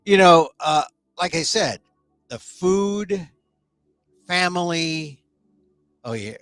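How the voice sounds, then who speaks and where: Male talk-show host, middle-aged, rambling and chatty, slightly high voice, animated. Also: slow, faint music behind. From United States. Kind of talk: casual solo talk show.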